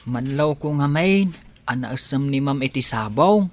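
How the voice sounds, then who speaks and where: Slow-speaking, swooping actor as a timid, devoted male servant in love, radio drama. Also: medium pitch, expressive, lightly acted. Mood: bashful. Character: shy, devoted lovestruck male household helper. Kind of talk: Ilocano radio drama acting.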